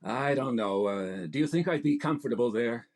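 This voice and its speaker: A man's voice: medium pitch, expressive, moderate pace, darkish tone. An actor playing an earnest, theatrical straight man, a famous director, in a 1940s radio comedy. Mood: reluctant.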